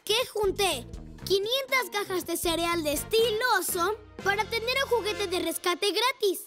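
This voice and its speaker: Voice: very high-pitched, animated, moderate pace. A performer voicing a brave, enthusiastic little boy rescue motorcycle in a children's cartoon. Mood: eager, excited. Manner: enthusiastic, exclaiming. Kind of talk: animated children's show dubbing. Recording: music behind the voice.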